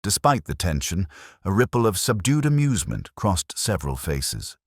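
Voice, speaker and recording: Very deep, husky man narrating. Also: sing-song, moderate pace, no echo, studio quality. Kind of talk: scripted narration of a village mystery.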